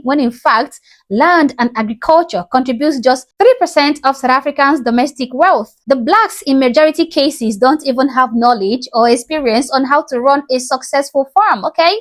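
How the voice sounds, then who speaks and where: A woman whose first language is Igbo, hosting and commenting emphatically, often tagging her points with a question. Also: high-pitched. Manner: emphatic, punctuates with "okay?". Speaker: channel host commenting. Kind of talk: reaction commentary.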